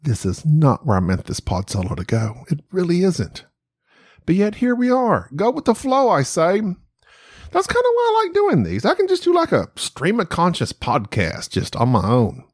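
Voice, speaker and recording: A man's voice: fairly high pitch, huge pitch swings, normal speed, breathy edge, no echo, studio quality. A middle-aged man hosting a solo podcast, talking with a nasal voice in a rambling, self-mocking, chatty way. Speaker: podcast host. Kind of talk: solo stream-of-consciousness podcast.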